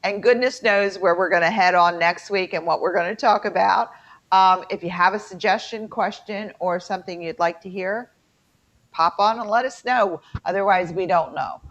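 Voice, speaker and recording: A woman's voice: mid-range voice, normal speed. A middle-aged American woman with a twang, chatting casually and jokingly as a folksy co-host of a talk show. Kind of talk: casual chat. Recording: dull lo-fi sound.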